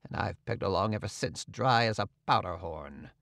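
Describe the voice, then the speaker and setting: A gruff, bossy swindler in a storybook reading, as a male narrator puts him on, with a lively, swooping voice that turns low and threatening. The sound is dry and close. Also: normal speed, no room sound. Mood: grumbling. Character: scheming middle-aged male swindler, gruff and menacing.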